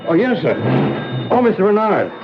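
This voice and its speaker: An actor playing a folksy, talkative small-town mechanic in a 1970s radio drama. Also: male voice, high voice, sing-song, normal speed. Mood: breezy. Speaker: garage mechanic. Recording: dull old radio recording with music behind.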